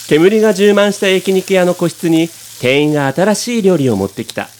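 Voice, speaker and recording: A man's voice: high-pitched, lively intonation, normal speed, darkish tone, a little hiss or hum. A man reading scripted narration for a comic radio drama.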